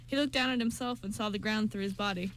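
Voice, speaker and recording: A woman's voice: medium pitch, quick pace, a bit bright, faint music behind, rough recording. A woman reading scripted narration for an amateur radio play, with small stumbles.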